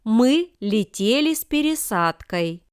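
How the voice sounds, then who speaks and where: A woman reading scripted example sentences for language learners with careful, deliberate enunciation. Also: a little high, very animated, clear, resonant tone, no room sound, studio quality. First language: Russian. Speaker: language lesson reader.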